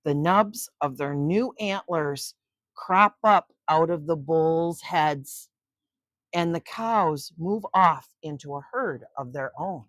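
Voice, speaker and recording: An older woman hosting story time for children, reading a picture book aloud and chatting gently to young listeners in between. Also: low-pitched, slow pace, no room sound. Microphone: close microphone.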